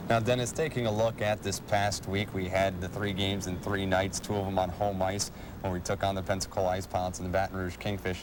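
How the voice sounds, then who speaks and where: A man with a fairly low voice hosts a sports program in a polished broadcast manner. He presents and interviews like a play-by-play announcer, over noticeable ambient background noise. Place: arena civic center.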